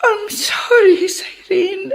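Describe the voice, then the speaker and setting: A woman's voice: very high voice, fairly even intonation, drawn-out words, vocal fry, exaggerated voice. An actress playing an emotional, anguished mother in a Filipino radio drama. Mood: tearful remorse. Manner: dramatic, anguished, breaking into sobs. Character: guilt-ridden ailing mother, emotional and remorseful.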